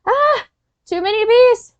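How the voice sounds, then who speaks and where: A woman's voice: very high voice, animated, slow, no room sound, dull audio, pitched above the performer's own voice, heavily acted. A woman voicing a skeptical, nervous child from a picture book, protesting dramatically. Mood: alarmed. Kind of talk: storybook character reading.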